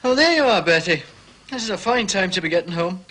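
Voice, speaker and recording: An actor playing a gruff, impatient manservant in a period mystery drama, blunt and scolding. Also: male voice, high-pitched, expressive, fairly quick, pushed and bright, a little hiss or hum, poor audio. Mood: irritated.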